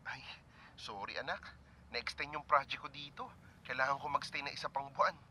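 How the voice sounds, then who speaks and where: A man voicing an affectionate, hurried, apologetic father working away from home in a cartoon, with a Filipino accent. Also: mid-range voice, sharp tone, a little hiss or hum. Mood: apologetic, coaxing. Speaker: father character in animated film. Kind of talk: natural cartoon dialogue.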